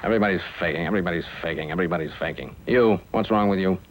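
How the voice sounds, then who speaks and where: A man voicing a brusque, dismissive army doctor in a cartoon, with hurried orders, on a noisy old film soundtrack. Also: sits low, pitched below the performer's own voice, lightly acted. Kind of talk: animated cartoon dialogue.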